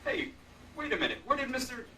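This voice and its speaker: Obnoxious young male sergeant, played by an actor, badgering, sharp and swooping, radio-play stage recording. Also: very high-pitched, animated, brisk, full character voice. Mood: brusque, irritated. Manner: brusque, badgering. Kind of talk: old-time radio drama acting. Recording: distant live stage recording, faint noise.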